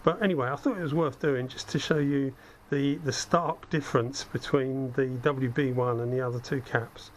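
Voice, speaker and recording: A man with a slightly croaky, cold-affected voice giving a casual hands-on demonstration, thinking aloud as he works. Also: mid-range voice, normal speed, hardly any pauses. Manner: conversational, self-deprecating asides, thinking aloud while working. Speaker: hobbyist host demonstrating spray gun equipment. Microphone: head-mounted action camera. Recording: steady background noise from workspace.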